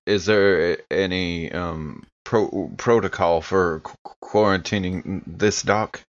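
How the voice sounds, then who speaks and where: Deep male player, slow pace, hesitant, muffled lo-fi mic, improvised game dialogue. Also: steady tone. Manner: hesitant, stop-start. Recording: dull, muffled lo-fi microphone.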